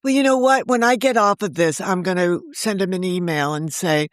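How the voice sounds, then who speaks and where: A woman in her sixties, a novelist guest on a remote interview, talking in a chatty, animated way and often jumping in over the host. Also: on the low side, moderate pace, no echo, clean studio sound. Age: 60s. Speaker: novelist and podcast creator, guest. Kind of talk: casual interview chat.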